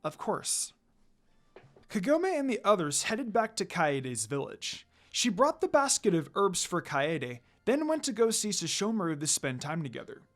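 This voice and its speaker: A man with a fairly high voice narrates a story aloud. His pitch moves around in a lively, expressive way as he reads. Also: moderate pace, hardly any pauses. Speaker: fan fiction reader.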